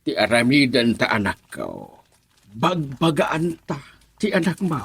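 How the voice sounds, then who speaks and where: An actor playing a jovial, doting old grandfather with a raspy voice, in an Ilocano radio drama. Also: mid-range voice, huge pitch swings, measured pace, a bit bright, exaggerated voice. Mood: amused, advising.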